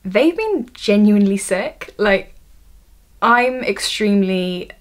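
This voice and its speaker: A woman's voice: mid-range voice, lively intonation, slow pace. An eighteen-year-old woman with a Welsh accent, talking to a camera on a tripod in her bedroom, nervous and self-deprecating, often stopping and restarting. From Wales. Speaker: student vlogger. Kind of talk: casual vlog to camera.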